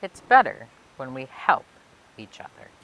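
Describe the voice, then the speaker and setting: A woman reading a children's picture book aloud for a library storytime, expressively and phrase by phrase with emphatic pauses. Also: a bass voice, big pitch swings, fairly bright tone, low-quality recording. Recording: clear background noise and hiss.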